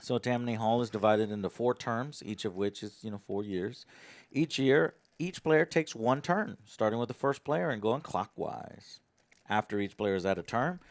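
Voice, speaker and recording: A man's voice: fairly low pitch, no room sound. A man hosting a board game, casually explaining the rules and partly reading them aloud. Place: indoor game table on a livestream.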